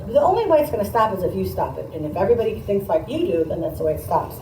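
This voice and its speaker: A woman's voice: medium pitch, very animated, very fast, warm tone. Woman, teacher, read-aloud with explanatory asides, prompting questions, roomy echo, distant microphone. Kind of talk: reading aloud to children with conversational asides. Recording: roomy, distant mic, noisy room.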